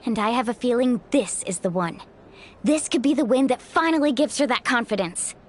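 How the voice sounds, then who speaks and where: A woman's voice: on the high side, expressive, fairly quick, slight background noise, lightly acted. A woman voicing a confident, playful, sisterly young female warrior in a video game. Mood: warmly supportive. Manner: breezy, playful, self-assured. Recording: faint music behind. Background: faint music.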